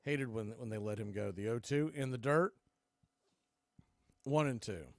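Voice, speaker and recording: A man's voice: medium pitch, unhurried, no echo, studio quality. An American man calling a baseball game live as play-by-play host, with folksy, conversational asides. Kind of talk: live sports commentary with casual asides.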